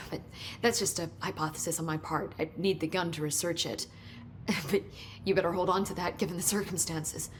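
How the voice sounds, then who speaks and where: A helpful woman researcher in a game, played by a woman, explains strange science with chatty, nerdy eagerness. Her voice sits fairly low and easy. A faint rumble sits under the dialogue. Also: steady tone, normal speed, close to an everyday voice. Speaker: research scientist guiding the hero.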